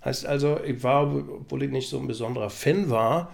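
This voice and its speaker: Creaky-voiced man with a Berlin accent. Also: medium pitch, normal speed. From Berlin, Germany. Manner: reminiscing, colloquial. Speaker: podcast guest. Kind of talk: casual chat.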